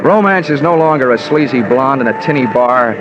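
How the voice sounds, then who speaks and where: A man's voice: mid-range voice, lively intonation, fairly quick, background noise, lightly acted. An actor playing a wry, hard-boiled American investigator, telling his story in clipped, colourful 1950s radio drama narration. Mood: wistful. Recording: muffled old radio broadcast, loud music behind.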